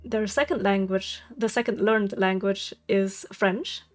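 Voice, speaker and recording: A woman, talking straight to her viewers in a casual vlog. She vents openly, swears freely and breaks into laughs, at a middle pitch, with soft music playing underneath. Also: measured pace. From Germany. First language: German. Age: 30s. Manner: candid, venting, swears freely, laughs often. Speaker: vlogger.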